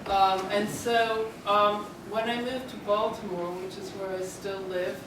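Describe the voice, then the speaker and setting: A woman's voice: mid-range voice, little pitch movement, measured pace. A woman artist with a British accent chatting about her work to a gallery audience, telling stories with a few fillers. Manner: chatty, storytelling, occasional fillers. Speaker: exhibiting artist. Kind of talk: informal artist talk. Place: gallery room, speaking without microphone. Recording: echoey room from far off, noisy.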